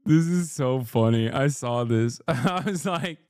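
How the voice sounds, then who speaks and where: A man's voice: medium pitch, unhurried, darkish tone, dry recording, clean studio sound. A man in his thirties, a streamer, ranting in heated, profane reaction commentary and laughing as he talks. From Turkey. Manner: laughs through his lines.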